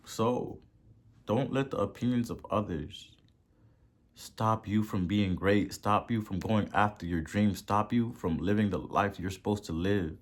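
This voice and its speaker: A man with a Haitian accent hosting a podcast and giving a motivational talk, preachy, repeating words for emphasis and swelling to loud peaks. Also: on the low side, unhurried. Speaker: podcast host.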